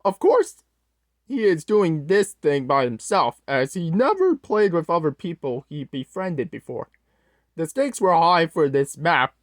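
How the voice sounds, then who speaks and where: A performer voicing an awkward, easily flustered storyteller who haltingly reads a scripted scary story aloud. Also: medium pitch, dry recording, clean studio sound. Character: Strong-Arm, awkward, scatterbrained storyteller host character. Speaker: storyteller host.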